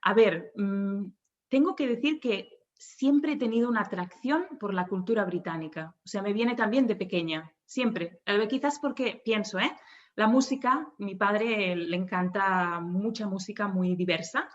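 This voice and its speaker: A woman from Barcelona speaks as a podcast guest. She talks at a middling pitch, thinks aloud, restarts her phrases and laughs easily. The sound is a bit dull, like a remote call. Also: measured pace. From Barcelona, Spain. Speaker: guest speech therapist and linguist. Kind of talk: podcast interview.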